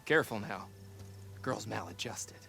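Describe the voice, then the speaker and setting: An actor playing a sullen crew lackey in a video game, grumbling and defensive. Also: male voice, on the low side, unhurried, vocal fry, sharp tone, faint music behind, exaggerated voice. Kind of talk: video game cutscene acting.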